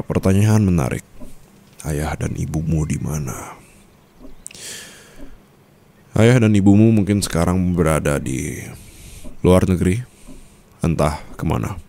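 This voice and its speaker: Casting: male actor as a cool, teasing mafia boss husband for ASMR roleplay, very low dark voice, measured formal delivery. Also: lively intonation, gravelly, exaggerated voice. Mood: calm, reassuring. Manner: measured, formal, coaxing with short pauses. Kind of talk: ASMR romantic roleplay acting.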